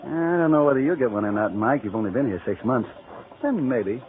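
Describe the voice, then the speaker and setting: A man's voice: mid-range voice, animated, a little fast, lightly acted. Man as a wisecracking American coworker, tinny old radio. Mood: chummy. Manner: casual, wisecracking, slangy. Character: joshing, gossipy male department store coworker. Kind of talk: 1940s radio acting. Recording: tinny, hissy vintage radio recording.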